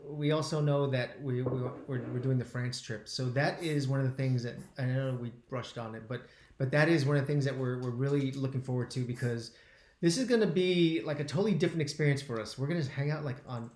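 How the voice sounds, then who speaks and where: A man's voice: medium pitch, a little mellow, roomy sound. A man in his forties co-hosting a casual live stream, often repeating words as he works out what to say. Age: 40s. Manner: halting, repeats words while finding his thought. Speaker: travel channel co-host.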